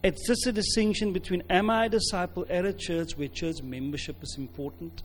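South African man preaching, higher-pitched voice. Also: fairly even intonation, a little fast. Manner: conversational, emphatic, repeats phrases. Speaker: pastor, preacher. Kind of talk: informal sermon with storytelling.

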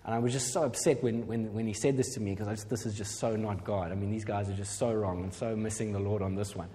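A man's voice: fairly low pitch, fairly even intonation, fast, mellow. A middle-aged man telling a personal testimony as a pastor, rambling and self-deprecating, with many fillers. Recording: hall reverb.

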